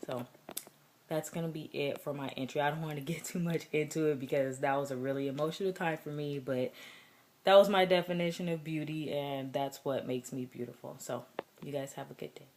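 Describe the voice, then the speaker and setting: A young woman with a deep voice, talking earnestly and reflectively in a personal vlog, with many fillers. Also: fairly even intonation, a little fast, darkish tone, a little hiss or hum. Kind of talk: personal vlog contest entry.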